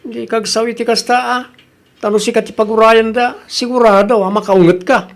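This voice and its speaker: A woman's voice: on the high side, fairly even intonation, moderate pace, close to an everyday voice. A woman playing an admonishing mother who corrects her grown child in an Ilocano comedy radio drama, with a Filipino accent.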